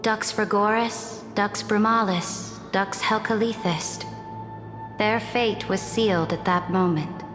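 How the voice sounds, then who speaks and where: A cold, resolute empress from a video game, voiced by a woman speaks slowly and imperiously. Her measured words carry long, echoing reverb, with music clearly playing behind. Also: medium pitch, steady tone, lightly acted. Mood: solemn, resolute. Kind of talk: video game drama dialogue.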